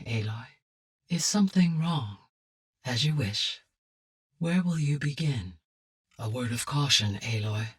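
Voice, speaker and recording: An actress playing a calm, wise, courteous female artificial intelligence guide in a video game, speaking formally with measured precision in a low, husky voice. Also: distant mic, exaggerated voice. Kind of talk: scripted video game voice lines.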